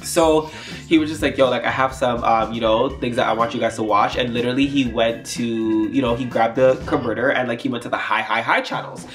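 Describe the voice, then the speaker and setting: A man's voice: mid-range voice, steady tone, a little fast, a bit bright, soft background music. A young man telling a storytime vlog in a rambling, chatty way with lots of fillers, his nose stuffed up. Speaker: YouTuber.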